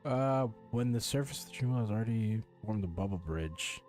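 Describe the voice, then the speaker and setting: A man's voice: lowish voice, animated, fairly quick, a little mellow, faint music behind. A man giving casual, off-the-cuff commentary as he streams a video game.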